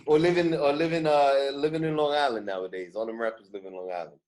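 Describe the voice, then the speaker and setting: A man's voice: medium pitch, fast, a little mellow, slight background noise. A man hosting a podcast in casual conversation, with slangy, emphatic street talk. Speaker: podcast host. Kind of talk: casual chat. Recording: echoey room.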